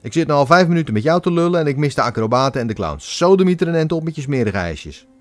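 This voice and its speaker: A man's voice: fairly low pitch, huge pitch swings, quick pace, dry recording, soft background music. A man voicing a rude, impatient male circus-goer who talks crudely and irritably. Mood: irritated.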